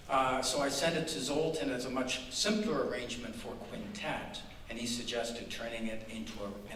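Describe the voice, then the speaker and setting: An older man with a low, bright-toned voice introduces his piece with dry, self-deprecating humor. He sounds distant, with long reverb from a large concert hall. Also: slight background noise. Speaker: composer. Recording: echoey hall from far off.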